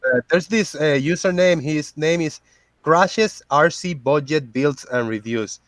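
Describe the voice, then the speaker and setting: A man's voice: fairly high pitch, measured pace, fairly bright tone, no room sound. A man in a casual online chat who talks hesitantly and stammers.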